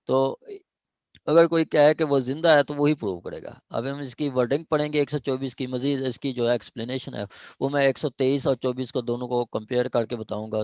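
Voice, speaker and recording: A man's voice: mid-range voice, a little mellow, no room sound. A man with a Pakistani accent lecturing on law in an online class, repeating and rephrasing points and often checking that he is followed. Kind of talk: online law lecture, explaining statute articles. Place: indoors, online meeting.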